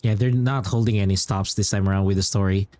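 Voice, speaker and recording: A man's voice: lowish voice, fairly even intonation, fast, mellow. A man streaming a game, chatting casually with viewers and reacting to what is on screen. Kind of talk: casual livestream chat, reacting to gameplay and viewers.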